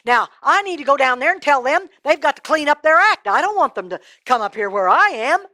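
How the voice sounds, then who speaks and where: A woman's voice: high-pitched, lively intonation, fairly quick, bright, forceful tone, no echo, studio quality, voice pushed up, full character voice. A woman voicing a tormented rich man in a Bible story, pleading, indignant and stammering. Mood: urgent.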